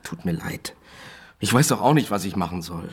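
An actor playing a matter-of-fact, evasive defence lawyer in a German radio crime drama. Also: male voice, sits low, lively intonation, normal speed, slightly rough, heavily acted. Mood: resigned.

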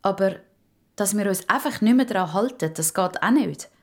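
A woman voicing a sensible, matter-of-fact mother in a children's audio story, measured and reasoning, with a Swiss German accent. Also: mid-range voice, animated. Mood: sensible, firm.

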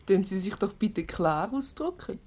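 A woman's voice: mid-range voice, very animated, unhurried, a little mellow. A woman playing an unflappable, witty married lady in a Swiss German radio comedy, with a breezy, teasing, ironic manner. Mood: cool, ironic. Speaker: radio play actor.

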